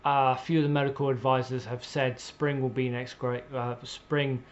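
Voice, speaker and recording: A man delivering an opinionated political rant in a blunt, sweary, exasperated way, with emphatic pauses and repetition, on slightly muffled audio. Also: mid-range voice, flat delivery. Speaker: political commentator.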